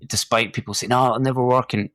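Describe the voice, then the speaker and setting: A man's voice: mid-range voice, fairly even intonation, quick pace, a bit bright, no echo, clean studio sound. A middle-aged man hosting a podcast interview, chatty and encouraging, with plenty of fillers. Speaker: podcast host. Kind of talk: casual interview chat.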